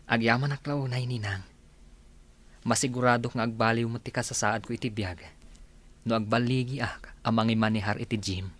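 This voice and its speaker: An actor playing a dutiful, deferential young godson in a radio drama. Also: male voice, medium pitch, fairly even intonation, normal speed, a bit bright, close to an everyday voice. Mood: respectful. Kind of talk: Ilocano radio drama acting.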